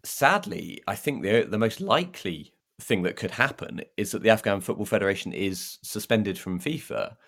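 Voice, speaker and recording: Low-pitched man, conversational podcast co-host. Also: fairly bright tone. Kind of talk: casual football discussion.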